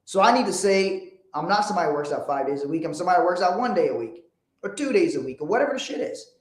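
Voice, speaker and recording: A man's voice: sits high, expressive, racing, roomy sound. A man from Liberia, hosting a motivational livestream in a blunt, profane, emphatic way. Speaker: host.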